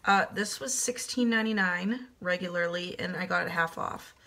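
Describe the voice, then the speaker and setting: A woman's voice: on the low side, normal speed, vocal fry, sharp tone. A woman in her thirties losing her voice, chatting casually through a home decor haul in her kitchen on a webcam. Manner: chatty, self-deprecating, upbeat. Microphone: webcam at desk distance.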